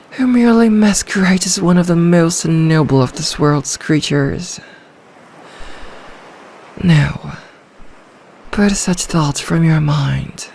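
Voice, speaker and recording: A woman playing an ancient, possessive sea dragon in human form for an ASMR roleplay, speaking in a regal, seductive, deliberate manner. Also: low voice, creaky, darkish tone, slight background noise, full character voice. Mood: sultry, probing, then proud, imperious.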